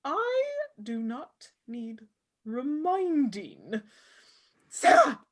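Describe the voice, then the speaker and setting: A woman's voice: high-pitched, sing-song, very slow, full character voice. A woman playing a vain, short-tempered eldest witch sister, theatrical and haughty in her archaic wording, in a script read. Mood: imperious.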